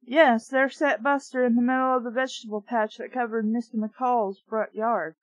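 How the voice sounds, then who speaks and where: Snarky woman, higher voice, echoey room. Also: steady tone, moderate pace. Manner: snarky, exasperated, profane. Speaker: reader and book reviewer. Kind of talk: storybook read-aloud with off-the-cuff commentary. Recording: dull, echoey room recording.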